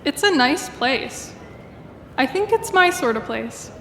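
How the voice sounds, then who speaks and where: A woman's voice: high-pitched, lively intonation, slow, bright, forceful tone, heavily acted. A woman playing a sassy, teasing inner voice in a writer's head in an audio drama. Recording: echoey long reverb, clear noisy ambience.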